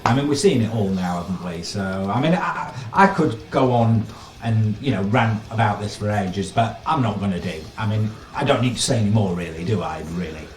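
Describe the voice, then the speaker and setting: A man's voice: fairly low pitch, normal speed, slight background noise. A man giving casual, sardonic and incredulous commentary on the news, often tossing out rhetorical questions. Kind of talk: casual opinion commentary, reading an article aloud. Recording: echoey room, mic at a distance.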